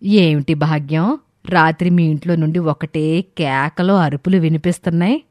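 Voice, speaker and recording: A woman acts out a concerned older village neighbour in a moral tale. She advises and consoles gently in a fairly low voice, with a very dry recorded sound. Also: normal speed, dry recording, close to an everyday voice. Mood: concerned, consoling.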